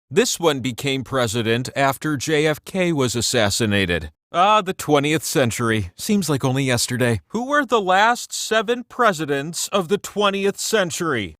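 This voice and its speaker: A man voicing a whimsical, all-knowing talking wheel that hosts a trivia video game, theatrical and playful, with drawn-out emphasis. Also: on the high side, fairly bright tone, no echo, clean studio sound. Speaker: game show host. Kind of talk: scripted trivia game hosting.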